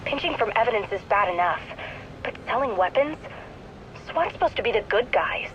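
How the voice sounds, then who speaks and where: A woman's voice: a little high, lively intonation, normal speed, fairly bright tone, lo-fi sound, a little hiss or hum. A woman playing an eager, earnest teenage sidekick in a video game, chatty, casual and confiding. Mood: indignant, determined. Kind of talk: naturalistic video game voice acting.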